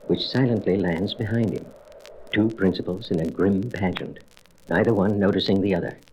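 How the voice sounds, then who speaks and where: Lowish-pitched male dramatic narrator. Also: warm tone. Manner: portentous. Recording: faintly noisy old record.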